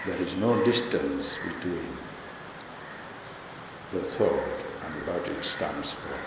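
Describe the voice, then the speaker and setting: Slow, deep-voiced Indian man in an echoing hall. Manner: measured, deliberate, in short pausing phrases. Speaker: spiritual teacher leading guided meditation. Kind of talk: guided meditation talk. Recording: echoey hall, long reverb, background noise.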